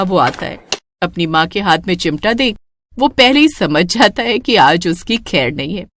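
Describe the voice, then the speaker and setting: A woman narrating a scripted moral story in an expressive storytelling style. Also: mid-range voice, no echo.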